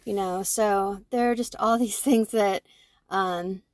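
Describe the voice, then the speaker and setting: A woman in her forties, co-hosting a podcast, talking through her own thoughts in an animated way and often checking in with "right?". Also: mid-range voice, slow, no echo. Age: 40s. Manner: animated, self-reflective, fond of tag questions like "right?". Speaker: podcast co-host. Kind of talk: casual conversation.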